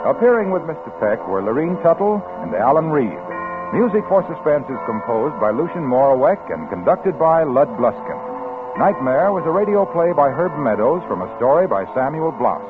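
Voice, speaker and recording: A man's voice: a little high, sing-song, moderate pace. A man working as a 1940s radio announcer, reading scripted copy and ads with a polished sales manner. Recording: tinny 1940s radio recording, music behind.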